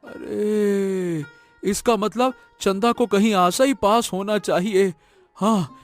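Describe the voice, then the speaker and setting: A poor woodcutter husband full of remorse , acted by a man. He wails melodramatically in a high voice at a slow pace, in a cartoon tale with soft music behind. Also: steady tone. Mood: bewildered, anxious. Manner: wailing, repetitive, melodramatic.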